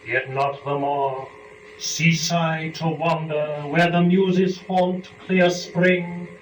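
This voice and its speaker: Slow, solemn man reciting verse, echoey. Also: on the high side, flat delivery. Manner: solemn, measured, declamatory delivery. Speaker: dramatic reader of epic poetry. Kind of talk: dramatic recitation of classical verse. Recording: roomy, distant, faintly noisy recording.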